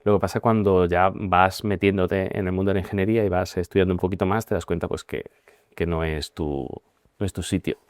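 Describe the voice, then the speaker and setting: A man in his forties from Spain, a guest telling his career story in a measured, reflective way with frequent pauses and fillers. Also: deep voice, fairly even intonation, no echo, clean studio sound. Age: 40s. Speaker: guest, managing partner. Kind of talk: conversational interview.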